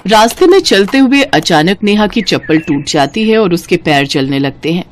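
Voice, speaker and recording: A woman's voice: fairly low pitch, sing-song, normal speed, slight background noise. A woman storyteller reading a scripted moral story as narrator.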